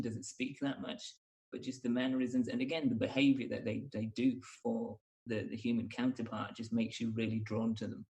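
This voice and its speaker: A man sits a little way from the microphone, chatting casually about films. He has a medium-pitched voice and feels his way through each thought, often rewording it as he talks. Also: a little mellow, far from the mic, poor audio. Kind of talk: casual film chat.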